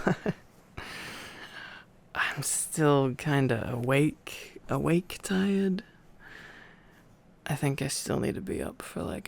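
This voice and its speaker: A drowsy man speaks slowly with a heavy creak in his voice. He is playing an affectionate boyfriend in an intimate ASMR roleplay, rambling softly and often dropping to a half-whisper. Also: medium pitch. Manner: sleepy, affectionate, rambling, murmuring. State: tired.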